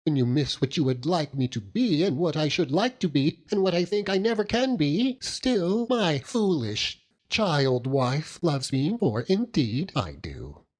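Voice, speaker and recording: A man's voice: on the high side, very animated, a little slow, pitched above the performer's own voice. A man voicing a sweet, childish, doting young wife in an audiobook, pleading and self-deprecating. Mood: pleading, tender.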